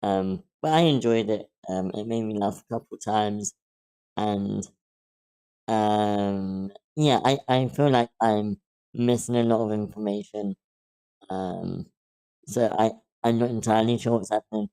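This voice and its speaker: A man's voice: lowish voice, unhurried, dark tone, clean tone, rough recording. A man giving casual feedback on an online meeting call in a hesitant, unassuming way. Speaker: table read participant giving feedback.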